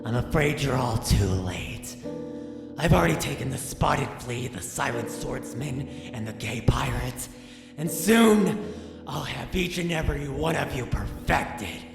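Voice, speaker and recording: Wildly swooping, gravelly ghost villain. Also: male voice, medium pitch, expressive, unhurried. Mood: gloating menace. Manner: pompous, melodramatic, ranting. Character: vengeful theatrical male Onryo ghost villain, gloating and petulant. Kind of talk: audio drama villain acting. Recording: echoey reverberant hall effect under loud music.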